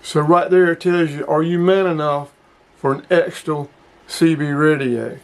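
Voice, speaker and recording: A middle-aged man talks in a casual vlog style as a hobbyist showing off gear. His voice sits a little higher than most men's. He comes across as folksy and plain-spoken. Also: steady tone, a little slow. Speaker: hobbyist video host. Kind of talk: casual product review vlog.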